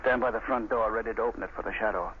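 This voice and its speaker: A man's voice: fairly low pitch, steady tone, racing, phone-quality sound, music in the background, natural voice. An actor playing a cruel, scheming male gang boss who taunts his captive, in 1930s radio acting. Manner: taunting, mocking. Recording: scratchy 1930s radio broadcast.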